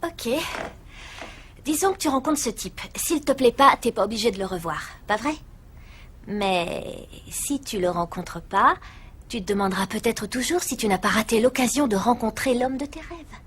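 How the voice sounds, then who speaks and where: A chatty teen girl, high voice. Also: expressive, moderate pace, a bit bright, husky. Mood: teasing. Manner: chatty, teasing. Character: teenage girl, chatty and dramatic.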